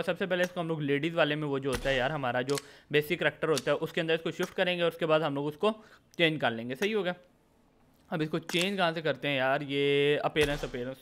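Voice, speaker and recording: A man's voice: a little high, a bit bright, a little hiss or hum. A man with a Pakistani accent doing a casual, informal game commentary voice-over, mixing in English words. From Lahore, Pakistan. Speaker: gaming video host.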